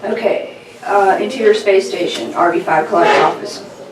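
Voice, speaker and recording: A woman's voice: medium pitch, normal speed, heavy background noise. A woman reading out stage directions as the narrator at a script table read. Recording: roomy, distant mic, chattering group behind.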